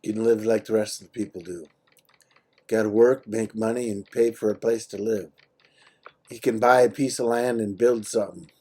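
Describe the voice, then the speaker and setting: A man's voice: lowish voice, steady tone, normal speed, darkish tone, breathy edge. A man with an American accent chatting casually on a phone call in a laid-back, matter-of-fact way, in short plain sentences. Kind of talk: casual personal phone chat.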